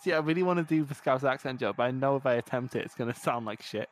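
A man's voice: mid-range voice, quick pace. A young Scottish man co-hosting a podcast, chatting casually while watching a match, swearing a lot and laughing often. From Scotland. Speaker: podcast co-host.

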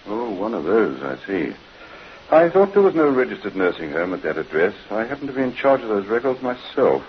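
A man's voice: fairly low pitch, big pitch swings, a little fast. A man playing a sceptical, officious registry official in vintage radio drama, brusque and questioning. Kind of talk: 1950s radio drama acting. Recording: muffled old radio recording with hiss.

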